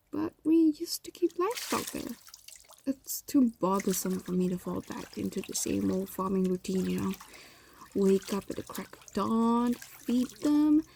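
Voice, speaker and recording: A woman voicing a possessive, evasive fox demon in an intimate audio roleplay, speaking softly and often dropping into whispers. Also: a little high, gravelly, slight background noise, full character voice. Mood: evasive, nervous, then coaxing, evasive. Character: possessive, evasive female fox demon doting on captive human.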